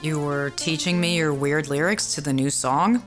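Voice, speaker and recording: A woman's voice: low voice, normal speed, over music, natural voice. A young woman playing a teasing, caring bandmate.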